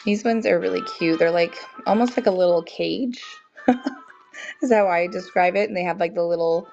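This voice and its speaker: A young woman selling items on a live stream in a chatty, easygoing way, her voice worn from a lingering cold. Her pitch sits slightly low, and the sound is dull and lo-fi with faint music playing underneath. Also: normal speed, gravelly, a little mellow. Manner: chatty, describes items, greets viewers by name. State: has a cold, voice wearing thin. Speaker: live auction seller.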